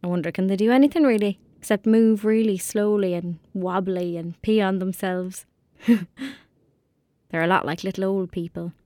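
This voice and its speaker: A woman's voice: mid-range voice, normal speed, warm tone, no room sound, lightly acted. Woman acting as lonely irish country girl in a radio play, blunt and dreamy, very dry close sound. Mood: tender, wistful. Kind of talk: audio drama acting.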